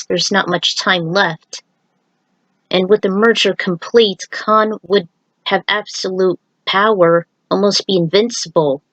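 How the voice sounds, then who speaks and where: Female amateur narrator, slow-paced, dry close sound, story reading with informal asides. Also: on the low side, no room sound, poor audio. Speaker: narrator reading own fan fiction.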